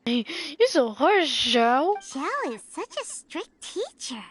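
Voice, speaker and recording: A woman voicing a tiny floating fairy girl companion, chirpy and nosy, with a squeaky game voice. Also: sing-song, slow pace, crowd noise, exaggerated voice. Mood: teasing. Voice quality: high, squeaky and bouncy. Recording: loud game music behind.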